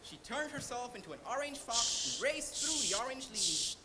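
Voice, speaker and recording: A woman's voice: a little high, sing-song, normal speed. A female storyteller narrating a scripted children's tale in a theatrical, recited manner. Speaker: storyteller in a school team. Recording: live stage contest, clear background noise.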